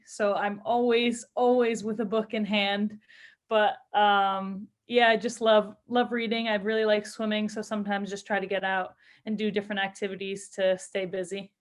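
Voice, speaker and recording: Young woman giving casual interview answers. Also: medium pitch. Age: young adult. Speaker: professional footballer being interviewed.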